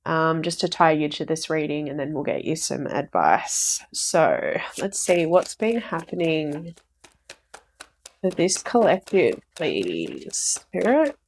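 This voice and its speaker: Croaky lady doing a tarot reading, a bit low voiced, keeps repeating herself. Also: big pitch swings, slow pace, a little hiss or hum. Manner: rambling, repeats herself with fillers. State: croaky from recovering flu. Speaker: tarot reader. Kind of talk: casual tarot reading to viewers.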